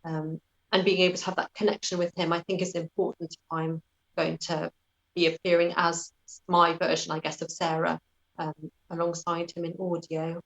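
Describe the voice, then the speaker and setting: A woman's voice: fairly low pitch, level tone, normal speed, vocal fry, poor audio. A British woman answering as an interview guest in a conversational, thoughtful, self-effacing way.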